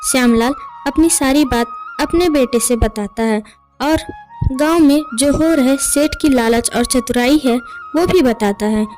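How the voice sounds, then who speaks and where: High-voiced woman narrating a story. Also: unhurried, a little mellow, soft background music. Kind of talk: scripted children's moral story narration in Hindi.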